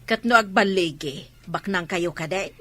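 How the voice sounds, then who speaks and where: Woman playing gossipy snarky warehouse worker, sharp voice, radio drama. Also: on the low side, measured pace. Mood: mocking. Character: gossipy, mocking female fruit-warehouse worker. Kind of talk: dialogue in an Ilocano audio drama.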